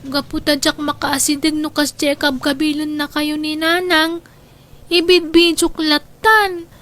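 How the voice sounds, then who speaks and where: Woman playing young granddaughter, high voice, distressed, earnest, protective, pleading, radio drama. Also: little pitch movement, unhurried, a bit bright. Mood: worried, earnest. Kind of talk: Ilocano radio drama acting.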